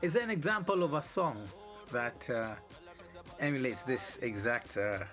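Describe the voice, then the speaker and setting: A man's voice: mid-range voice, big pitch swings, normal speed, a bit bright, faint music behind. Curious male interviewer. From Nigeria. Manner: curious, engaged questioning. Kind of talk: interview questions.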